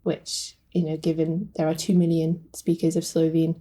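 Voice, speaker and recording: A woman's voice: deep voice, completely flat delivery, moderate pace, warm tone. A woman speaking reflectively with fillers as a guest translator in a podcast conversation.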